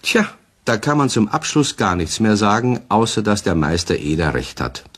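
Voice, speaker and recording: A husky storyteller narrates a children's radio play. His voice sits very low yet moves with lively, expressive swings, speaking straight to young listeners. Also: moderate pace. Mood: animated, wry. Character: male storyteller addressing children.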